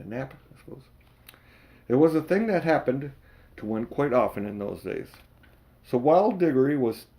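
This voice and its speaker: A man's voice: on the high side, mellow. Older man reading a storybook aloud. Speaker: amateur audiobook reader. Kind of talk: expressive storybook narration.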